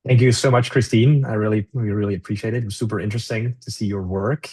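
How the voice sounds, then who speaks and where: Low husky-voiced man moderating a webinar. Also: steady tone, warm tone. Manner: polite, brisk handing over to the next speaker. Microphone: video call.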